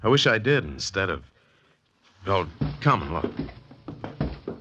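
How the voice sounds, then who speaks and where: A low-voiced man plays an earnest, haunted artist in his thirties. His delivery is intense and swings widely in pitch. It is a muffled 1940s radio mystery recording. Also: very animated, takes it slowly, noisy background, full character voice. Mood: tense, insistent. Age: 30s.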